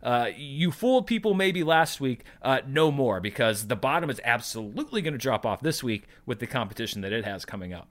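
A man with a slightly high-pitched voice hosts a commentary show. He talks in a casual, conversational way, like a presenter recapping news, and drops in wry asides as he goes. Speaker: podcast host. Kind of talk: casual box office commentary.

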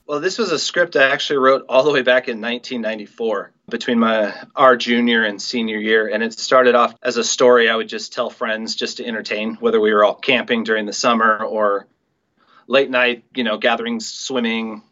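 A man's voice: mid-range voice, steady tone, brisk, pushed and bright, lo-fi sound. A middle-aged man, a writer and director, telling stories casually as an interview guest.

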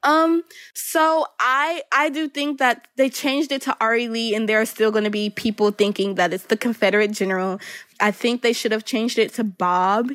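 Young woman, student interviewee, casual talk, fairly high voice, dry close recording. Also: steady tone, normal speed, fairly bright tone, no room sound.

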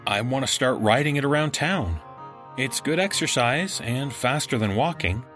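A man's voice: mid-range voice, lively intonation, moderate pace, a bit bright, soft background music, lightly acted. A man playing an earnest, stubborn teenage boy in a horror story audiobook. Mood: stubborn, grumpy. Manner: earnest, a little grumpy. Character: teenage boy, stubborn amateur sleuth turned terrified. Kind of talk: first-person horror story character.

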